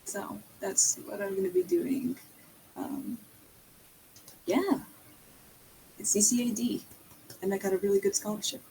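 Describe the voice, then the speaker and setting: A woman's voice: fairly high pitch, big pitch swings, a little slow, creaky, pauses often, mellow, slight background noise, low-quality recording. A young woman chatting casually on a livestream in a hesitant, rambling way. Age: young adult.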